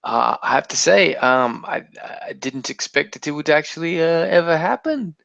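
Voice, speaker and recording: A man's voice: on the high side, expressive, moderate pace, creaky, fairly bright tone, no echo. A man's voice in a slightly dull, lo-fi recording with little room sound. He is a podcast co-host who chats casually in a theatrical way, keeping up a put-on accent. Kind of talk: casual banter about TV and video games.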